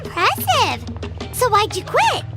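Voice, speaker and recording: A woman voicing a tiny, excitable female fairy companion in a video game, high and chirpy. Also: takes it slowly, exaggerated voice. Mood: curious, chirpy. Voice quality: high, chirpy, refers to herself in third person. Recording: game audio with background music.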